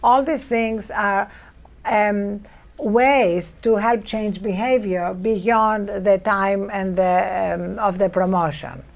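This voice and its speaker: A woman with a Greek accent talks on a public panel. She speaks at a middle pitch and halts often, filling pauses with ums and restarts. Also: fairly even intonation, gravelly. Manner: hesitant, many fillers and restarts. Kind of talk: panel discussion.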